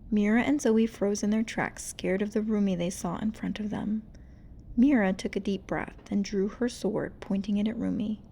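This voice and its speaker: A woman reading a storybook aloud in an expressive, suspenseful, dramatic narrating style. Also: medium pitch, normal speed, vocal fry, a little hiss or hum. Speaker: storybook reader.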